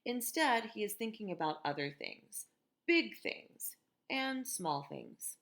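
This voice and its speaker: An American woman reading a picture book aloud to children in a gentle, expressive teacher's manner, with conversational asides. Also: medium pitch, slow. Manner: gentle, expressive, asks listeners questions.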